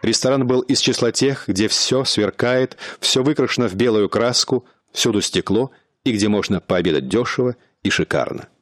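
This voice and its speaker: A man narrating a radio play in a measured, even storytelling manner. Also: mid-range voice, no echo, studio quality.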